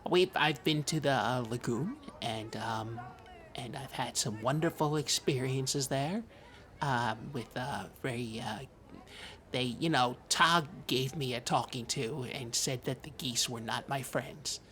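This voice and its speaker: Man playing a halting, bright-voiced oddball podcast host. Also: mid-range voice, a little hiss or hum, natural voice. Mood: wistful, rambling. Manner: halting, hesitant, stammering rambler. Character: Parker, timid, odd, earnest rambling podcast host. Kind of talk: improvised comedy podcast banter.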